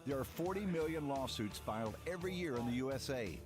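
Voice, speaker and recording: A man reading a scripted commercial voice-over in a persuasive, measured way. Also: medium pitch, animated. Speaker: ad narrator. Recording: music bed behind.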